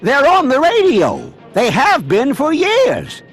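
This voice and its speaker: A really high excitable old patriot man, folksy rambler, swooping wildly, game dialogue. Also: slow pace, faint music behind, heavily acted. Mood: excited, fervent. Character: excitable, zealous old small-town patriot, male.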